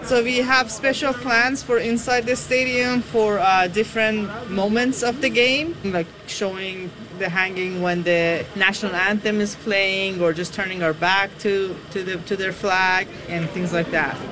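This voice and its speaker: A woman's voice: mid-range voice, fairly even intonation, a little slow, few pauses, crowd noise, poor audio. A woman protest organizer eagerly explaining plans.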